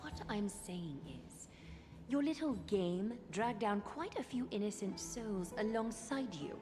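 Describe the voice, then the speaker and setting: A woman voicing a poised, composed noble leader in a video game, with a measured, elegant, dry delivery. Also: mid-range voice, lively intonation, darkish tone, soft background music, natural voice. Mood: calm.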